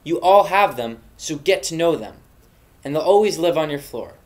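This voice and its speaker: A man's voice: fairly high pitch, animated, moderate pace. A young man hosting a mock safety video, giving solemn, preachy advice in an earnest scripted narration. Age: young adult. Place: dorm room indoors. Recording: echoey room.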